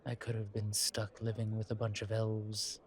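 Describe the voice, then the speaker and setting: A man playing a genteel, world-weary vampire in an audio roleplay, speaking in a courtly, deliberate way with pauses and sighs. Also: on the low side, completely flat delivery, close to an everyday voice. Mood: wry, amused. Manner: courtly, deliberate, pausing, sighing between phrases. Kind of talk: slow audio roleplay acting, addressed to listener.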